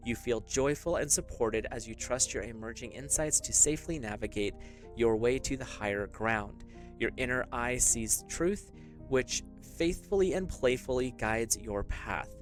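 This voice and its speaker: A man's voice: medium pitch, moderate pace, faint music behind. Easygoing man giving a tarot reading. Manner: relaxed, rambling, with fillers and small laughs. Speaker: tarot reader. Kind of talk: casual spiritual reading.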